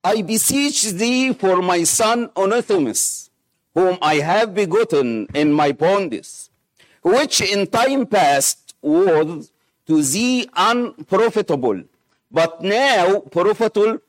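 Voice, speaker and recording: A middle-aged man from Egypt, Arabic-speaking, with an Egyptian accent, preaching and praying in halting English with many pauses and fillers. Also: sits high, lively intonation, poor audio. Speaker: missionary preacher. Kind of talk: sermon with scripture reading and prayer.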